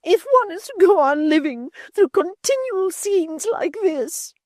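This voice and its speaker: A querulous old mother who whines and shrieks in a high, swooping voice, as a male reader puts her on. She is self-pitying and theatrical, from a storybook reading. The sound is close and dry. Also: big pitch swings, normal speed, darkish tone, dry recording, heavily acted. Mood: whining. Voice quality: shrieking, whining. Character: aged palsied mother, shrill, whining, self-pitying. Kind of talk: audiobook character voice.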